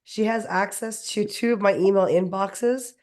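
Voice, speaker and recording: A woman speaking hoarsely while getting over a cold. She chats enthusiastically on a panel and runs through long lists. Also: medium pitch, fairly even intonation, normal speed, a little mellow. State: hoarse from a cold, losing her voice. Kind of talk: casual panel discussion.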